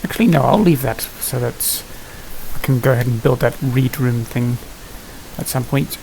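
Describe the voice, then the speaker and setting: Gravelly-voiced man muttering over gameplay. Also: medium pitch, normal speed, a little mellow, poor audio. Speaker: gaming video host. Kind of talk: casual gameplay commentary. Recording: hissy home recording, background noise.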